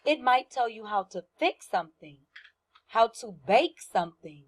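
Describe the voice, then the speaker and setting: A woman's voice: on the high side, expressive, normal speed, breathy edge. A woman teacher giving a lesson to young children, explaining simply, repeating points and asking questions. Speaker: first-grade teacher.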